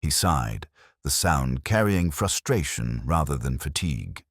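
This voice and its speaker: A man playing a brooding, introspective police detective, narrating a literary mystery in a measured, solemn, reflective manner. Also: a bass voice, sing-song, no echo, studio quality, exaggerated voice. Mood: intent, uneasy.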